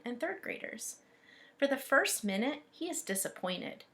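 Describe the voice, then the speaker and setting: A woman reading aloud as a teacher, expressive and instructive, pausing to explain things. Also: on the high side, creaky. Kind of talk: read-aloud lesson with commentary.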